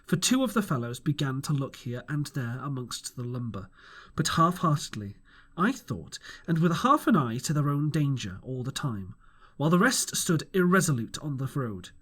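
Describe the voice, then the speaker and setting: A man's voice: mid-range voice, sing-song, moderate pace, a bit bright, husky. A man reading a classic adventure novel aloud as an audiobook, narrating expressively in first person. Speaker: audiobook reader. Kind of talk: storybook narration.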